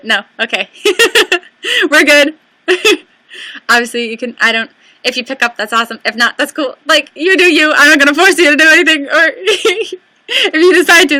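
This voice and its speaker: A woman's voice: high voice, bright, forceful tone, no echo. A woman hosting a casual livestream, often laughing nervously and apologizing while fixing a call. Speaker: livestream host.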